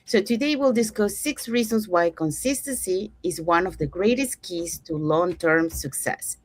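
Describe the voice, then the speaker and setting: A woman's voice: lowish voice, moderate pace. A woman from Panama hosting an online business talk show, leading the segments and introducing the speakers. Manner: upbeat, guiding the segments. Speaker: host.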